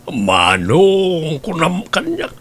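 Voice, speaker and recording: A man's voice: a little high, huge pitch swings, very slow, a bit bright, heavily acted. A man playing a flirtatious man who teases and chats up a married woman, laughing often. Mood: flirty. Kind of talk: amateur comedy audio drama.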